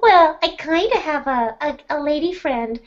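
A sugary, overly polite young woman, put on by a woman in a comedic interview bit. Her voice sits very high and girlish. The recording sounds dull and lo-fi. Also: animated, moderate pace. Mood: sugary sweet.

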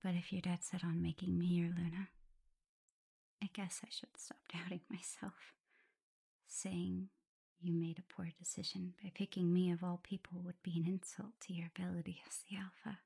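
A very breathy, mellow whisper from a shy omega werewolf in love acted by a woman. She speaks tenderly and close, teasing a little and doubting herself, in an intimate ASMR roleplay. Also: on the low side, level tone, moderate pace. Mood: tender, self-doubting.

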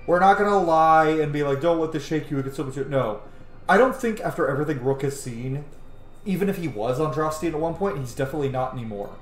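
A man's voice: fairly high pitch, lively intonation, fast talker, a little hiss or hum. A man in his thirties giving casual let's play commentary, thinking aloud as he plays a role-playing game. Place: indoor home room. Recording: echoey room, game music behind.

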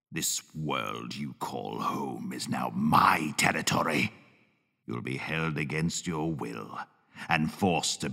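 A cruel, gloating demon overlord delivers a theatrical villain monologue. The voice is extremely low, slow and drawn out, with menace in a long cavernous reverb. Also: male voice, creaky, sharp tone, heavily acted. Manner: pompous, menacing.

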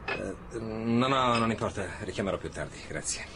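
An actor playing a weary, brusque police commissioner in a naturalistic radio drama, terse and muttering. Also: male voice, lowish voice, animated, normal speed. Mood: polite, tired. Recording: noisy radio drama with ambient sounds.

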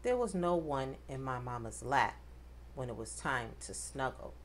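A woman reading a rhyming picture book aloud to children, with expressive, dramatic emphasis. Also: rumbling low, measured pace, a little hiss or hum. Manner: addresses children directly, dramatic emphasis on rhymes.

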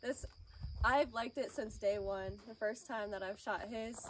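A woman's voice: mid-range voice, unhurried. A woman co-hosting a gear test, giving short, casual, conversational remarks. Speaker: co-host testing gear. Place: outdoor shooting range. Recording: distant mic, clear outdoor noise.

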